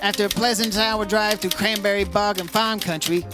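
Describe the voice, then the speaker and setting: A woman playing a hard-boiled insurance investigator in an old-time radio detective drama, dry and measured. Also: medium pitch, steady tone, music in the background, lightly acted. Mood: wry, narrating.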